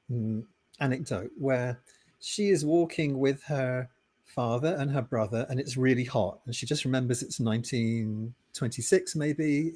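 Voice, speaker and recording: British guy, middle-aged, podcast guest, calm storytelling, normal mid-pitch voice. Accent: British English. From Britain. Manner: thoughtful storyteller, steady and measured. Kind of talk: conversational interview answers.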